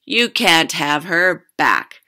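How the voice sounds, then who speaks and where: Woman putting on a loud, indignant big fish. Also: sits low, expressive, takes it slowly, exaggerated voice. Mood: defiant. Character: big indignant talking fish, defiant parent.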